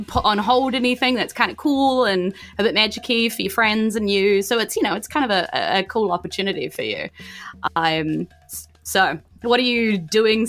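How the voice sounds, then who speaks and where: Bright-voiced New Zealand woman running a game. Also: medium pitch. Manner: informal, chatty, prompting players with questions. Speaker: game master. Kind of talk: casual narration of a tabletop game.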